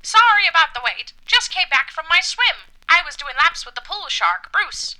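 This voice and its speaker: A woman's voice: very high voice, very animated, normal speed, pushed and bright, full character voice. A woman voicing a campy, macabre, wisecracking spooky host in a scripted comic segment, with a droll, theatrical delivery. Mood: droll, chipper. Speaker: comic host of an anthology show.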